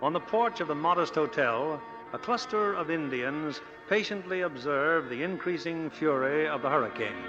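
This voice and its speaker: A man's voice: a little high, animated, normal speed, natural voice. A man narrating a scripted 1940s radio drama. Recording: muffled old broadcast under loud music.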